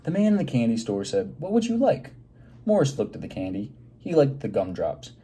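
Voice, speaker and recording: A man reading a picture book aloud to children in an expressive way, pausing to prompt them with simple questions. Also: fairly low pitch, slightly rough.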